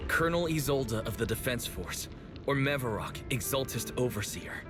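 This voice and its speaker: Calm deducing young man, voiced by a man, cool and analytical, over cutscene music. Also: mid-range voice, normal speed, close to an everyday voice. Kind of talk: video game cutscene dialogue.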